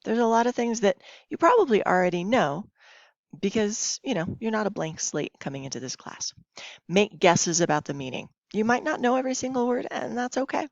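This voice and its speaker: A woman's voice: medium pitch, animated, fairly quick, gravelly. A woman teacher giving a recorded lesson, reading slides aloud in an instructive way with short check-in questions. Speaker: Spanish teacher. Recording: dull lo-fi, roomy home recording.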